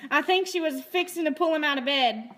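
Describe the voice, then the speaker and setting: A woman's voice: sits high, fairly quick, a bit bright, a little hiss or hum. A woman voicing an indignant, frantic hospital character in an amateur scripted film, agitated in manner. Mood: indignant alarm.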